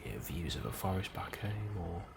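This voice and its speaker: A man in a soft, hushed ASMR role-play, speaking calmly and unhurriedly as a helpful colony immigration officer, close to the microphone. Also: deep voice. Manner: hushed, unhurried, sometimes dropping into whisper. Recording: clearly audible background ambience noise.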